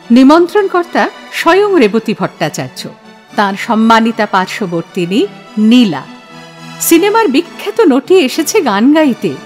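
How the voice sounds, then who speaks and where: A woman giving dramatic literary narration for an audio play. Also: fairly high pitch. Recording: long hall-like reverb.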